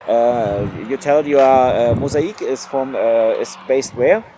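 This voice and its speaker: A man at a mid-range pitch interviews a guest, putting technical questions in halting, searching phrasing. His voice is dark and mellow in tone. Faint outdoor noise with passing vehicles runs behind him. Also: poor audio. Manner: halting, non-native phrasing, searching for words.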